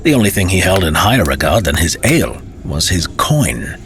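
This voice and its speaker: Very deep-voiced theatrical male storyteller. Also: big pitch swings, moderate pace, a bit bright. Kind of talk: dramatic scripted fantasy narration.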